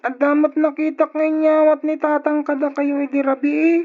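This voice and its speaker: A woman's voice: high voice, completely flat delivery, moderate pace, natural voice. A woman acting a pleading young child in an Ilocano radio drama, with an Ilocano accent. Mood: wistful, pleading. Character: pleading child missing grandmother. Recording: tinny, narrow-band old radio recording.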